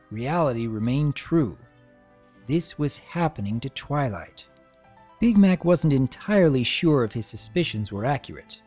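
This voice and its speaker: Expressive male storyteller, lively pitch. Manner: expressive, theatrical. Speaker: fan-fiction narrator. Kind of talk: dramatic scripted storytelling narration.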